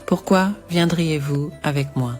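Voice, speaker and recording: A woman's voice: sits low, unhurried, darkish tone, soft background music. A woman voicing a bitter, grieving older widow with solemn, formal speech in a biblical cartoon. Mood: sorrowful pleading. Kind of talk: animated Bible story voice acting.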